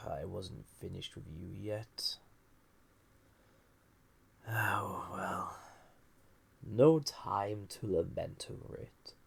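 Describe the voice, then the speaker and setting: A man playing a flirtatious, dangerous banished villain, ancient and lonely, in an intimate roleplay audio drama, breathy and often half-whispered, teasing with languid pauses. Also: deep voice, fairly bright tone, full character voice. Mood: urgent, intent.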